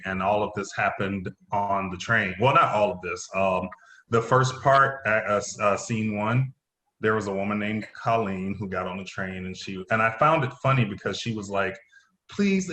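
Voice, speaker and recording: A man's voice: lowish voice, a bit bright. A man chatting casually as a playwright about his own writing. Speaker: playwright, acting teacher. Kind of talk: casual chat. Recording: roomy, faintly noisy remote call.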